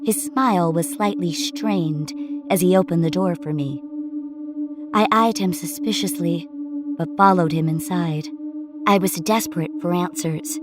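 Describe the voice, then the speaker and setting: A woman's voice: lowish voice, measured pace, music in the background. A woman giving a dramatic, scripted first-person narration of a story. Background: musical score.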